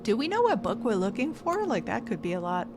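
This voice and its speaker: A woman's voice: fairly high pitch, big pitch swings, a little fast. A woman streamer playing a game, thinking aloud and reading its text to viewers in casual commentary. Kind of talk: let's play commentary. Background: game music.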